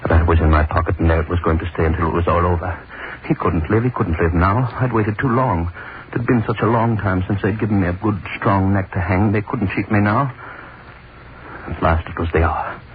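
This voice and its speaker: A man's voice: deep voice, a little fast, lightly acted. An actor playing a hangman who narrates his own story as he slides into madness, in a 1930s radio play. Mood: brooding. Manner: brooding first-person narration, turning hysterical. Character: gloating hangman sliding into madness. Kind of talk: 1930s radio drama acting. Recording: tinny, very hissy 1930s radio transcription.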